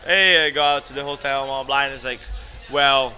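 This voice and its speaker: A man's voice: mid-range voice, normal speed, faint music behind. A man in his thirties from Italy with an Italian accent, a restaurant owner telling stories in a casual interview and acting out the people he quotes. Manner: animated, laughs often, acts out quoted voices. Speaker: guest, restaurateur. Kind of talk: casual storytelling.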